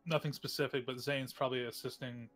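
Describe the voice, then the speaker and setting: A man's voice: medium pitch, fairly even intonation, fast talker, fairly bright tone, faint music behind. A man casually describing what his character does as a player in a tabletop game.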